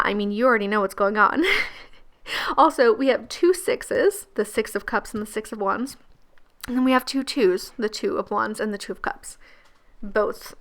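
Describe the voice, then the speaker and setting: A woman with a croaky, gravelly voice giving a casual pick-a-card tarot reading, chatty and laughing often. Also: a little high, fairly bright tone. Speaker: tarot reader and channel host.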